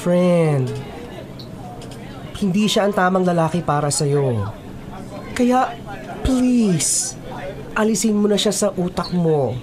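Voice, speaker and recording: A woman's voice: on the low side, lively intonation, takes it slowly, long pauses. A young woman playing a concerned, scolding best friend in a Filipino radio drama, emphatic and exasperated. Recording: faint crowd chatter behind.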